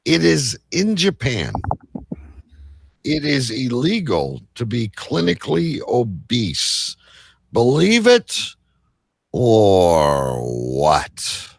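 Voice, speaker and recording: A man's voice: mid-range voice, huge pitch swings, drawn-out words, gravelly, no echo, studio quality. A man hosting a live quiz show, announcing to the audience like a showman. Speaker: game show host.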